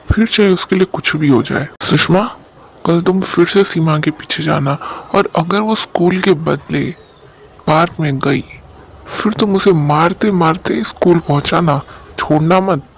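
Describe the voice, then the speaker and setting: A man plays a strict, angry father in a moral story cartoon. His voice is high and creaky, over faint background noise.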